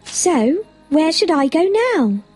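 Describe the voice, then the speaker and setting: A woman's voice: very high voice, very animated, slow, a little mellow, soft background music, exaggerated voice. A woman playing a sweet, polite, innocent young princess in a children's fairy tale, earnest and full of wonder. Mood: anxious, questioning.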